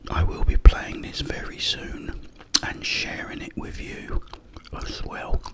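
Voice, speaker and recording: A man whispering up close in an unhurried ASMR ramble, with breathy delivery and hesitant pauses. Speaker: ASMR host. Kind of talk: ASMR whispered ramble about a video game. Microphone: close-up microphone.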